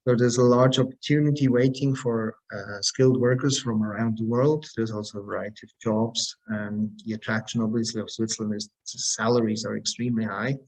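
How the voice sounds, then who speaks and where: A man speaking as an embassy representative in a webinar, presenting information in a measured, explanatory and promotional way. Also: on the low side, steady tone, darkish tone, poor audio.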